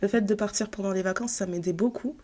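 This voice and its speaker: A woman from northern France, in her thirties, speaks with a warm, mellow voice at an everyday pitch. She tells her story in a relaxed, rambling way, full of fillers and restarts, as a podcast guest. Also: fast talker, breathy edge. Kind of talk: casual storytelling chat.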